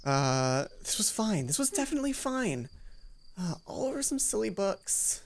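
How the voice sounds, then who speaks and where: A woman doing casual game commentary and reading a visual novel aloud, chatty and self-deprecating, reacting out loud to the story. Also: mid-range voice, big pitch swings, normal speed. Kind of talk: casual game commentary and narration with character voices. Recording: music behind the voice. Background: game music.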